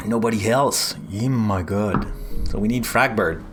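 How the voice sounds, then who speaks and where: A man's voice: fairly low pitch, a little slow, over music. A man with a French accent, casually chatting through a live coding stream, rambling with lots of fillers and restarts.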